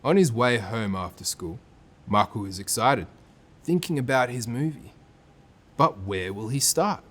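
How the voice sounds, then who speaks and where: An Australian man reads a picture book aloud for children. His middle-pitched voice is highly animated, with expressive swings and clear storytelling phrasing. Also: measured pace. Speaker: storytime presenter and reader.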